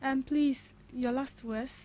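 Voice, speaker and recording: A woman's voice: high voice, fairly even intonation, slow pace, mellow, a little hiss or hum. A woman with a Ghanaian English accent hosting a radio talk show, asking questions with frequent short affirmations and some stumbling over words.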